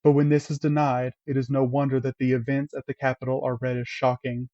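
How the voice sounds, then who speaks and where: Man reading an essay, measured and even, muffled dry sound. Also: medium pitch, dry recording. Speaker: reader at a church table reading.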